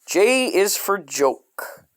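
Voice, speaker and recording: Deadpan man reading aloud, lively pitch swings. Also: extremely slow. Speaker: storyteller reading a parody children's coloring book. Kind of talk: scripted comedic read-aloud.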